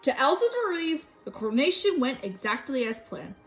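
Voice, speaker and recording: A woman telling a children's story from a book in an expressive way. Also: high-pitched, moderate pace, soft background music. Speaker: storybook reader.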